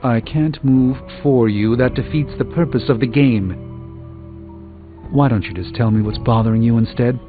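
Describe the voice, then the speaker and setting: Male actor as a solemn middle-aged sorcerer, formal measured delivery, video game dialogue, narrow tinny audio, music underneath. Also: mid-range voice, lightly acted. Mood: earnest concern. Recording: narrow phone-like sound, music behind.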